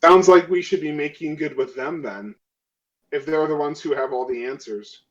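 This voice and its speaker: A man's voice: a little high, normal speed, natural voice. A man playing a skeptical, self-interested crew member in a tabletop role-playing game, arguing emphatically on a dull microphone.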